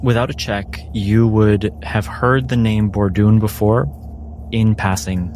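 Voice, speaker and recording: Slow, low-voiced man running a tabletop game. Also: steady tone, a little mellow, slight background noise. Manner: measured, deliberate phrasing with dramatic pauses. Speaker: game master. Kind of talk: dramatic tabletop game narration.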